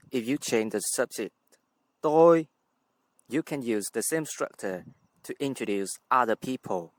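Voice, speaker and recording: A man from Vietnam with a Vietnamese accent, a native speaker teaching his language in English with careful, deliberate explanations. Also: mid-range voice, slight background noise, rough recording. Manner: careful, deliberate, spells out words. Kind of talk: scripted language lesson.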